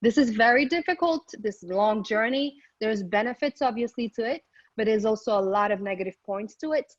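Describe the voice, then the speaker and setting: A woman's voice: fairly high pitch, expressive, fairly quick, no room sound, dull audio. A middle-aged woman from Morocco giving an upbeat, chatty business presentation remotely, with frequent ums and restarts. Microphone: remote call microphone.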